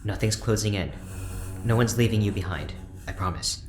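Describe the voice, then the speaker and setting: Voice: deep voice, normal speed, soft background music, natural voice. An actress playing a protective, exasperated companion in a spaceship audio drama, firm and reassuring. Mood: protective, irritated. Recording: echoey room.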